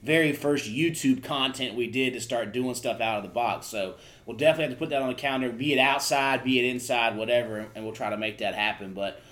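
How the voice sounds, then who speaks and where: A man hosting a podcast, laid-back and quick to laugh, asking questions in a halting, casual way. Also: medium pitch, fairly bright tone. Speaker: podcast host. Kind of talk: casual interview chat.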